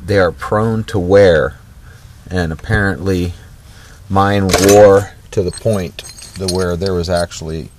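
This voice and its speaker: A man's voice: low voice, a little mellow, a little hiss or hum. A man casually talking through a trailer repair outdoors, folksy and rambling with lots of fillers and restarts. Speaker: DIY vlogger. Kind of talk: casual off-the-cuff how-to vlog. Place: outdoors on a driveway beside a trailer.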